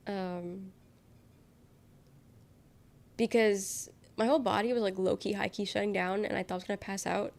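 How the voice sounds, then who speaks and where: A young woman talks loosely to her stream audience while she is sick. Her voice is nasal with a gravelly fry underneath, and her speech wanders as she reads chat questions and answers them. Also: medium pitch, steady tone, normal speed, long pauses, darkish tone. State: sick, congested voice. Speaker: livestreamer. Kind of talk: casual chat with viewers.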